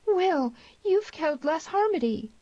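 Lady reader as a bold young western girl, pleading and fierce, voice lifted high. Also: animated, normal speed, dark tone, no room sound, dull audio, close to an everyday voice. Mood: panicked. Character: bold, passionate young frontier woman, pleading and protective.

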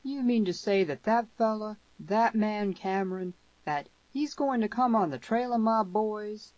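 A woman acts a gruff older rancher in a western audiobook. The father speaks slowly and forcefully, stern and indignant. The sound is dull. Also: mid-range voice, dry recording, voice pushed up, close to an everyday voice. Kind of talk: western audiobook character reading.

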